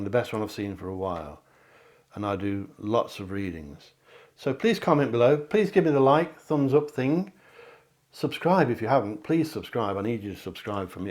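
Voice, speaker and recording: A man with a fairly low voice hosts a psychic card reading. He speaks directly to the listener and repeats key phrases for emphasis. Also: darkish tone. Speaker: psychic medium, card reader, host. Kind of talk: tarot reading addressed to viewers. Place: at home.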